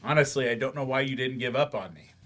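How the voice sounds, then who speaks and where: A man's voice: medium pitch, fast talker, a bit bright, natural voice. A man playing an earnest, wry writer turned remedial English teacher in a staged play reading. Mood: earnest, subdued. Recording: live staged reading in a library.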